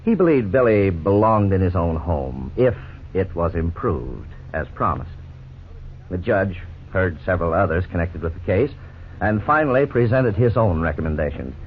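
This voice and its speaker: A middle-aged man playing a lawyer who narrates and explains the case in a measured, formal way, in 1950s radio drama style. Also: sits low. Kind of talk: scripted narration. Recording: scratchy 1950s radio broadcast, tinny, faint hiss.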